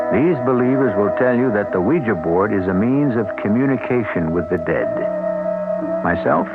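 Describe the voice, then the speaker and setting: A man hosting a radio mystery show, speaking in a measured, wry way. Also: on the low side. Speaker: radio drama host. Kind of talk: scripted radio narration. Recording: tinny radio broadcast over loud music.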